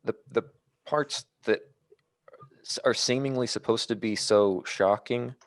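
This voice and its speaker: A man co-hosting a podcast, talking through his opinions casually and a little haltingly. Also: mid-range voice, no room sound. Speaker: podcast co-host. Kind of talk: casual film discussion.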